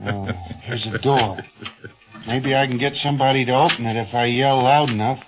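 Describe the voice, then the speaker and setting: An actor playing a scrappy, hot-headed, folksy pilot sidekick, blustering in slangy exclamations in 1930s radio serial style. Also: male voice, medium pitch, fairly even intonation, moderate pace, noisy background, lightly acted. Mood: groggy, bewildered. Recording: muffled 1930s radio recording.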